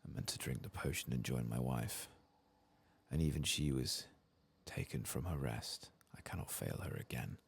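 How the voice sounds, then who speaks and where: A man voicing a weary, grieving old elf in a fantasy game, soft-spoken, solemn and formal. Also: very deep voice, normal speed, vocal fry, slightly rough, full character voice. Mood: remorseful. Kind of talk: soft-spoken game dialogue read-aloud.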